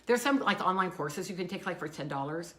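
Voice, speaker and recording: An older woman co-hosting a casual live stream with a loud voice, chatting in a rambling, self-interrupting way, recorded on a phone without a clip-on mic. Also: fairly low pitch, animated, brisk. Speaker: co-host. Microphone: phone camera, no clip-on mic.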